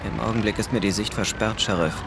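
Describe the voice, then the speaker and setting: An actor playing a dutiful police sniper, reporting in clipped military phrases in a German radio drama. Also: male voice, fairly low pitch, steady tone, quick pace, gravelly. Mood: tense, focused. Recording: very loud car and street noise behind.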